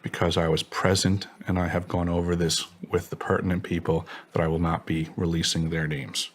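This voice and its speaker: Male interview guest, low-pitched, assertive and technical in his phrasing, laying out claims as testimony. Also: flat delivery, normal speed. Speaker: interview guest, former contractor.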